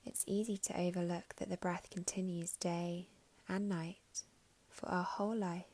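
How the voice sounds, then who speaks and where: A woman guiding a meditation in a soft, soothing, unhurried way with long pauses, her voice breathy and slipping toward a whisper at phrase ends. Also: lowish voice, flat delivery. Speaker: meditation guide. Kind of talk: guided meditation narration.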